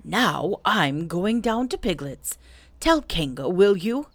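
A woman's voice: lowish voice, sing-song, takes it slowly, dry recording, exaggerated voice. A woman voicing a simple, good-natured, eager male toy bear in a children's storybook, naive and muddled. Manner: naive, muddled, hesitant over long words.

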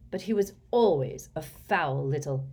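A woman's voice: sits low, expressive, measured pace, a little mellow. A woman reading aloud and voicing a cold, irritable grown man who speaks curtly and with disdain. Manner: curt, snapping, disdainful.

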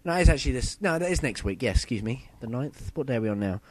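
A young man hosting a casual podcast, a bit husky from a sore throat, chatty and rambling. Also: medium pitch, expressive, fast talker, a little mellow. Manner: chatty, loose and rambling, with fillers and self-corrections. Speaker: podcast host. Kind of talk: casual solo podcast chat.

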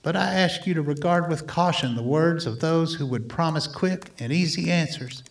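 An earnest male scientist, acted by a man addresses the public in a radio play. His voice sits a little high for a man, and he speaks formally, deliberately and solemnly, as an orator making a careful plea. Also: vocal fry. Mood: solemn, pleading. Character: earnest male doctor-scientist delivering a measured political plea.

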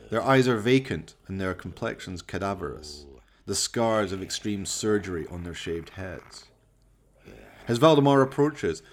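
A man reading scripted narration for a horror radio play in a theatrical, deliberate storytelling manner. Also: on the low side, slight background noise.

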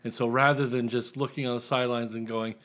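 A man's voice: mid-range voice, flat delivery, fast talker, fairly bright tone. A tipsy American man from Indiana chatting casually as an author guest, rambling, self-deprecating and profane. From Indiana, United States. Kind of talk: casual chat.

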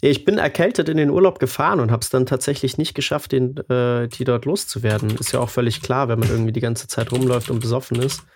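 A man with a lingering cold, telling a travel story in a relaxed podcast chat, full of fillers and crude jokes. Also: mid-range voice, fast talker, few pauses, a little hiss or hum. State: has a cold, slightly stuffy. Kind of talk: casual chat.

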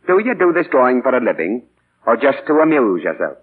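A man's voice: mid-range voice, sing-song, brisk, husky. A middle-aged man acting in 1940s radio style as a witty, teasing English novelist nearing middle age, playful and theatrical. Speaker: radio actor. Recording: tinny, band-limited 1940s radio broadcast.

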